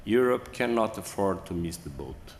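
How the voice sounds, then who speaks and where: Guy, very low voice, slow and halting, echoey big hall. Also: lively intonation, gravelly. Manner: halting, many fillers and restarts. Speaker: conference speaker. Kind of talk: hesitant presentation talk. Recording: echoey hall, faint room noise.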